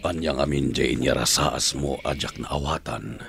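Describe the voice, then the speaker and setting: An actor playing an earnest, courteous male prisoner in a radio drama, with a gravelly deep voice. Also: steady tone, moderate pace, fairly bright tone, heavily acted. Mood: puzzled, sincere. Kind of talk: radio drama acting in Ilocano. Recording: music bed behind dialogue.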